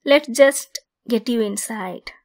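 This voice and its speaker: A woman playing a possessive centaur captor, bossy yet caring, in an ASMR roleplay monologue with a deliberate, teasing delivery. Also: on the high side, slight background noise. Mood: softened, wry.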